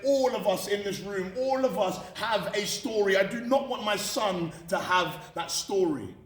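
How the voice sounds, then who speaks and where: British man speaking in an echoey room. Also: high voice. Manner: candid, emphatic, repeats phrases for effect. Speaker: motivational speaker at a college. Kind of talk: personal motivational talk to students. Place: indoor room at a college. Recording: echoey room from far off, faint noise.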